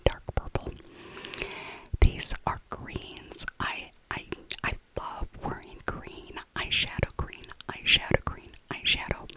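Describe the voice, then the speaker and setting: A middle-aged woman speaking in a breathy whisper, narrating an ASMR shopping voiceover in a rambling way and softly repeating her phrases. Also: rough recording. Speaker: ASMR video creator.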